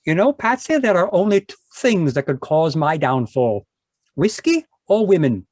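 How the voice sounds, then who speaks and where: A man's voice: fairly high pitch, sing-song, normal speed, a little mellow, no room sound, clean studio sound. A man playing a genial, boozy ex-doctor sidekick in a 1940s-style radio drama, talking in a florid, rambling, mock-dignified way. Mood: tipsy, grandiloquent. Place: live remote video-call performance.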